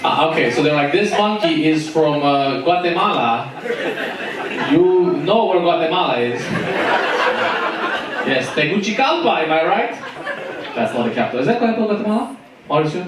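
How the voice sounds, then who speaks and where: A man's voice: a little high, expressive, normal speed, long pauses. A man doing stand-up crowd work, teasing the audience and laughing often. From Romania. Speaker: stand-up comedian. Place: comedy club stage. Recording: echoey club from a distance with loud ambience. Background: audience ambience.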